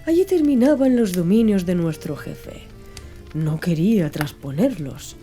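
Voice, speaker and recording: Mellow, dark-toned woman narrating an audiobook. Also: a little high. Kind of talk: scripted literary audiobook narration. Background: background music.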